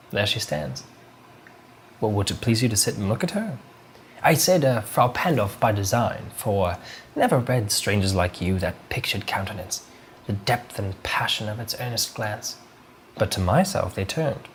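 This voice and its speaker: A man performing a dramatic monologue as a proud, possessive and coldly controlling duke, reciting in a measured, formal way. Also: fairly low pitch, slight background noise.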